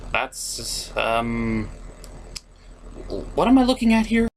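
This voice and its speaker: A man's voice: mid-range voice, sing-song, slow pace. A man hosting a comedic cartoon review, partly scripted and partly ad-libbed, with a sarcastic, theatrical delivery. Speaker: host of a cartoon review show.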